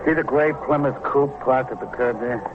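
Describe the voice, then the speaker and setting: A man's voice: mid-range voice, moderate pace, over music, close to an everyday voice. An American man playing a nosy, folksy building superintendent in a vintage radio crime drama, garrulous, hesitant and colloquial. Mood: confiding. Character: chatty, nosy, folksy married building superintendent, eager to help. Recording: noisy, narrow-band old radio recording.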